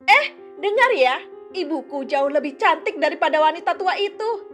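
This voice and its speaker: A woman's voice: expressive, moderate pace, a bit bright, faint music behind. A woman voicing a vain, arrogant young village girl in a children's cartoon, haughty and scornful. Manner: haughty and scornful, high shrill voice.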